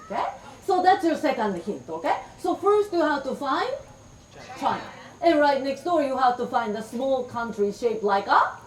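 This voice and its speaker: A middle-aged woman from Japan performs folk tales for a room of children. Her voice is high and swoops with bright, playful energy. She speaks slowly and clearly and coaxes the audience to repeat words. The microphone is set well back, so her voice carries a roomy echo and faint background noise. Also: very animated, distant mic. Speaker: storyteller. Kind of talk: interactive storytelling for children.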